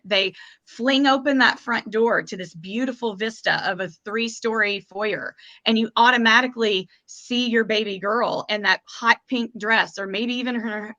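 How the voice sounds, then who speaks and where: A woman shares marketing advice as a workshop panelist, painting detailed scenes to draw listeners in. Her voice is bright and forceful. The recording sounds a little dull and lo-fi. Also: fairly high pitch. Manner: vivid, persuasive storytelling.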